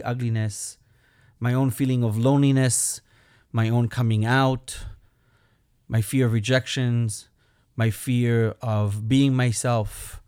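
A man from Belgium with a Belgian accent, a rabbi hosting his show and talking earnestly and personally, with gentle humor. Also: medium pitch, dry recording, clean studio sound. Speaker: rabbi and podcast host. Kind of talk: reflective solo talk.

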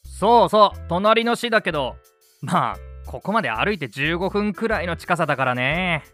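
A man's voice: sits high, expressive, normal speed, a bit bright. A man playing an easygoing, slightly forgetful father in an amateur family radio drama, speaking casually and expressively. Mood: casual concern. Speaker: actor in a family audio drama. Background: background music.

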